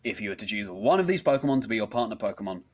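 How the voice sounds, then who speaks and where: A man's voice: lowish voice, big pitch swings, rapid-fire. Effusive male interview host. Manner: effusive, formal, wordy compliments. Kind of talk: hosted interview chat.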